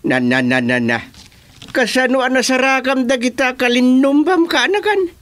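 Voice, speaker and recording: A man's voice: extremely high pitch, big pitch swings, fairly bright tone, exaggerated voice. A man playing a worried, fussing middle-aged village uncle with an Ilocano accent and many exclamations, in a rural radio comedy drama. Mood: flustered. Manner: exclamatory, drawn-out interjections.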